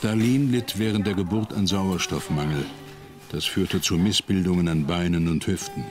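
Deep-voiced male documentary narrator. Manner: calm, measured voice-over. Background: music.

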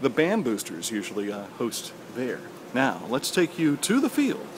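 A man, chatting through a handheld walking tour of an outdoor high school stadium, folksy and proud of his hometown. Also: wildly swooping pitch, normal speed, background noise. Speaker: local stadium tour guide. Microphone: handheld camera at arm's length.

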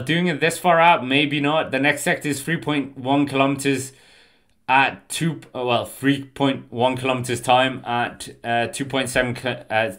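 A man's voice: mid-range voice, fairly bright tone, smooth. A Danish man with an English accent, giving casual, rambling live commentary on a stream and often correcting himself. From Denmark. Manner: rambling, with fillers and self-corrections.

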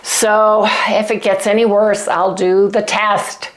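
An older woman with a cold hosting a home cooking vlog, chatting casually to her viewers in a hoarse, throat-clearing voice. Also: medium pitch, flat delivery, takes it slowly, poor audio. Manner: chatty and folksy, addresses viewers as "you guys". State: sick, losing her voice, coughing. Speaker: keto cooking channel host. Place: home kitchen, indoors. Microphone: lapel mic.

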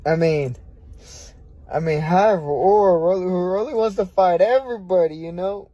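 Young boxer, brash, mellow voice a touch high, casual phone-livestream chat. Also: male voice, normal speed, dry recording. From United States. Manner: brash, repeats himself, swears freely. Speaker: boxer on a social media livestream. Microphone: phone held close.

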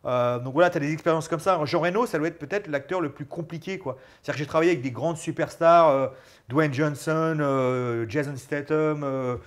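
Mec la quarantaine qui parle en s'animant, voix un peu aiguë, micro-cravate dans un petit salon. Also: male voice, a little high, brisk. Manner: animated, gestures a lot while talking. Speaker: guest, former fighter turned actor and stuntman. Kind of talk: casual interview chat. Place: small studio lounge with sofa and bookshelves. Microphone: lapel mic.

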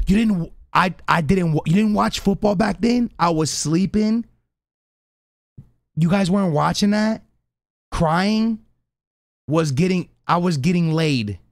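A man around his thirties streams from a small room on a close, dry studio mic. He has a mid-pitched voice and chats casually with his viewers in slang. His delivery is that of a reaction streamer. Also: no echo. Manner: slangy, addresses live chat. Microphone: close studio mic on boom arm.

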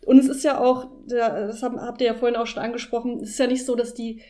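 A woman with a soft, mellow tone and a fairly high voice. She co-hosts a casual discussion podcast. Her phrasing is informal and colloquial even when she is analysing. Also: fast. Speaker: podcast host.

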